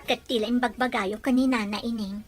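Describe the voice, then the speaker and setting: Woman as an earnest young daughter, high voice. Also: fairly even intonation, a little fast, over music, close to an everyday voice. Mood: pleading, hurt. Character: earnest young daughter in love, soft-hearted and pleading. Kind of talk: melodramatic radio drama acting in Ilocano.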